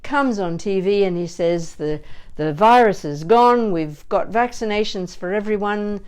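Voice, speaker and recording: A woman teaching vocal warm-up exercises in an expressive, encouraging manner, as a voice coach would. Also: mid-range voice, measured pace, clean tone. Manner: expressive, encouraging, demonstrates with sighs and playful asides. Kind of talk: instructional warm-up demonstration.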